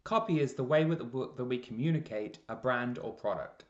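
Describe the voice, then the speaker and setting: A man presenting a scripted promotional explainer in a measured, deliberate way with emphasis on key words. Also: medium pitch. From Canada. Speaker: presenter, freelance copywriter. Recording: roomy echo, slightly dull lo-fi sound.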